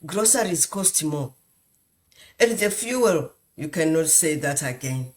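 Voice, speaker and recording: A woman's voice: low-pitched, expressive, slow, darkish tone. A woman from Zimbabwe giving an earnest motivational talk as a guest speaker, asking rhetorical questions and stressing lists of points. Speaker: guest speaker, nurse and business mentor. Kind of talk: motivational talk on a group call.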